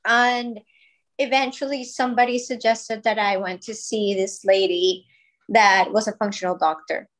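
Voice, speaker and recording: A woman's voice: mid-range voice, fairly even intonation, moderate pace, fairly bright tone, poor audio. A woman in her thirties from Colombia, a podcast guest telling her story in a chatty, grateful way with many fillers. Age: 30s. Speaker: guest, wellness brand founder. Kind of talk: podcast interview, personal story.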